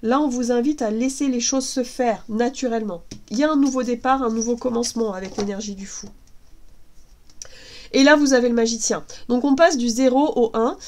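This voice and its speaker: A woman with a congested head cold gives a casual tarot reading, gentle and reassuring, hesitating often with fillers and restarts. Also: on the high side, brisk. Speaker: tarot card reader.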